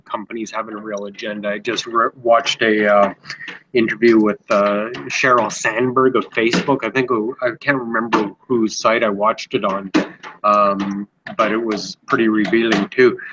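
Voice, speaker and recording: A man calling into a group chat, sounding nasal from a cold, talking in a measured way. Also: medium pitch, highs cut off, background noise. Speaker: call-in guest. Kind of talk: casual online group chat.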